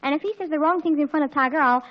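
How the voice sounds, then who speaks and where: An actress playing an excitable, dramatic teenage daughter, pleading and breathlessly earnest, in 1940s radio comedy style. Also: female voice, sits high, very fast, lightly acted. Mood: anxious pleading. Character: excitable teenage girl, dramatic daughter anxious about her date. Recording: dull old 1940s radio recording.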